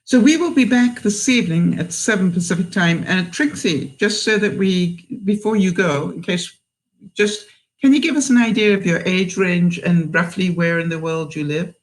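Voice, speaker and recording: A woman in her seventies with a mixed British accent, hosting a live stream and chatting with viewers in a halting way, full of fillers. She sounds a bit tired. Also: lowish voice, rough recording. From England. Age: 70s. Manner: unhurried, halting, with many fillers. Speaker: livestream host.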